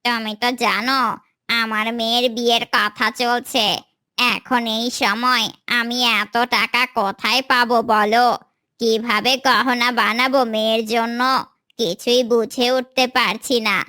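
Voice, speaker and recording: A woman's voice: on the high side, flat delivery, measured pace, sharp tone. A woman voicing a worried father bird in a cartoon, anxious and pleading as he speaks.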